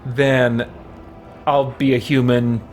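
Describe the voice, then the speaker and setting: A man's voice: mid-range voice, level tone, slow pace. A man giving casual, rambling live commentary as a game streamer. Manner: rambling, conversational. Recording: music behind the voice. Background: game music.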